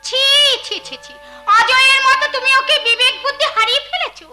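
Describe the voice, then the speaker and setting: A woman's voice: extremely high pitch, steady tone, brisk, over music. A woman playing a protective, indignant mother-in-law in Bengali folk-theatre melodrama, wailing and declaiming. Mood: protective, reproachful.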